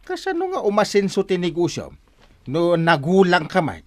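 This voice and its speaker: A man's voice: high-pitched, wildly swooping pitch, moderate pace, heavy background noise. A man acting a reproving village captain and peacemaker in a comic radio drama. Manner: admonishing, exclaiming.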